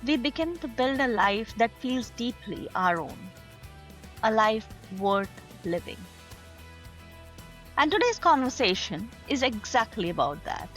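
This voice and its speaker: A woman hosting a podcast, giving an earnest, measured introduction and then asking her guest questions. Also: on the high side, very animated, a bit bright, faint music behind. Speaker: podcast host. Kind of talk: podcast interview.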